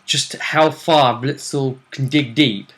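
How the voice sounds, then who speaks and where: A man with a bright, forceful voice hosts a relaxed chat about a fantasy game. His pitch sits in the middle range. He talks things up with a playful sense of drama. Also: takes it slowly, rough recording. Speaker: host of a tabletop role-play vidcast. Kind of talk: casual tournament preview chat.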